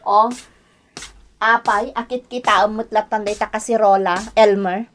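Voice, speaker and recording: A woman acting a lazy, scolding young wife and harsh aunt in a radio drama, sharp and complaining. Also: mid-range voice, steady tone, normal speed, slight background noise, natural voice. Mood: bossy, demanding.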